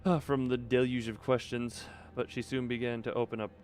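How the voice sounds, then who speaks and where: A man's voice: mid-range voice, flat delivery, brisk, a little mellow. A man livestreaming a game, commenting casually and thinking aloud in a rambling way as he goes. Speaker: game streamer. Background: game music.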